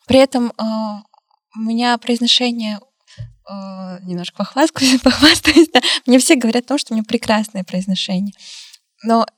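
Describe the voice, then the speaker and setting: A young woman appearing as a podcast guest, chatting in a lively way and laughing often between phrases. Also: medium pitch, no echo, studio quality. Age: young adult. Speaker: guest, law graduate studying abroad. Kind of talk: casual interview chat.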